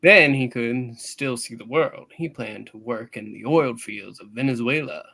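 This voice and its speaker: A man's voice: medium pitch, unhurried, creaky, bright, forceful tone, slight background noise. A man narrating a hammed-up play reading in a storytelling style.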